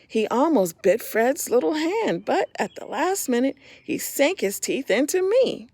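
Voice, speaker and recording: A middle-aged woman reading a picture book aloud to children, pausing to point out the pictures. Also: high-pitched, big pitch swings, darkish tone, dry recording. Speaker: homeschool story reader.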